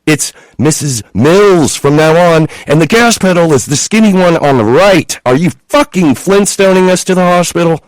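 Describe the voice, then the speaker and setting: A man voicing a woman in labor who yells and swears fiercely at those around her. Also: fairly high pitch, lively intonation, normal speed, hardly any pauses, no room sound, voice pushed up, natural voice, low-quality recording. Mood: furious. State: in labor pain. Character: furious woman in labor, sharp-tongued.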